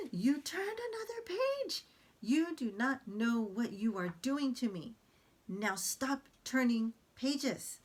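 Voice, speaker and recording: A woman's voice: big pitch swings, takes it slowly, heavily acted. A woman voicing a dramatic, easily scared furry male monster who pleads in an exaggerated way. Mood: panicked. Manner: exaggerated, pleading, high and loud.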